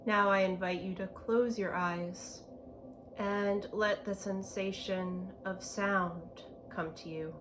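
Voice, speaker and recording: A woman leading a guided meditation in a gentle, unhurried manner, with spacious phrasing and long pauses. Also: medium pitch, fairly even intonation. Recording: clear background ambient noise.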